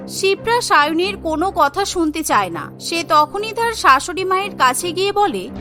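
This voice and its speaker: A woman giving scripted narration for a cartoon, in a steady storytelling manner. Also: extremely high pitch, normal speed, faint music behind.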